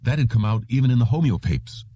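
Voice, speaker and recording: A man's voice: medium pitch, expressive, quick pace, warm tone, breathy edge, no room sound. A man narrating a piece of written fiction as a scripted audiobook.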